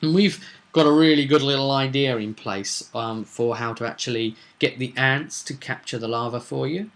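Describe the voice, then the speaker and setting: A man's voice: mid-range voice, normal speed, a bit bright. A man hosting a tabletop roleplay vidcast, talking informally and discursively as a game master, often adding tag questions.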